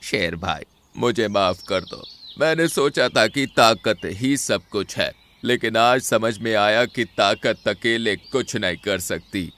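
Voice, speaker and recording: A man's voice: lowish voice, slow pace, hardly any pauses, fairly bright tone, pitched below the performer's own voice. A man voicing a proud, boastful male bull in an animal fable cartoon, with a dramatic delivery. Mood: remorseful. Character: boastful bull humbled by danger, pleading and proud.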